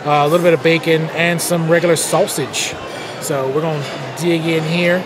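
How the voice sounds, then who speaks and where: A man giving a relaxed, chatty food review while he eats in a buffet restaurant. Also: a little high, normal speed, background noise. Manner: relaxed, chatty, thinks aloud between bites. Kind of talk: casual on-camera food review. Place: busy casino buffet restaurant indoors. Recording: loud crowd chatter and clatter around. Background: loud diners and dish clatter.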